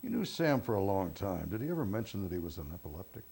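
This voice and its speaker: Casting: probing police interrogator in film drama; very low, dark voice, controlled questioning. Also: male voice, fast, full character voice. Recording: clear steady background noise.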